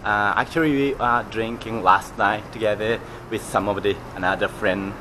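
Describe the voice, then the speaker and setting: A man in his forties with a Japanese accent, hosting a vlog in casual, halting English from a busy station concourse, camera at arm's length. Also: fairly low pitch, fairly even intonation, noisy background. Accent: Japanese, with some Australian. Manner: halting non-native English with fillers. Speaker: vlog host. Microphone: camera held at arm's length. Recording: music playing under the voice.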